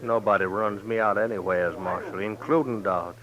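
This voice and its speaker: An actor playing a cold, threatening gunslinger in an old-time radio western, with a tough folksy drawl. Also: male voice, lowish voice, steady tone, moderate pace, fairly bright tone, close to an everyday voice. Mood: proud. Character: cold, menacing gunslinger drifting into a frontier town. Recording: dull vintage radio recording.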